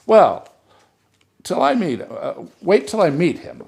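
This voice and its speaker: A man's voice: sits high, very animated, slow, gravelly, a little mellow, exaggerated voice. A man reading a short story aloud, voicing a chatty, gushing society woman who talks in a breathless stream and keeps praising herself.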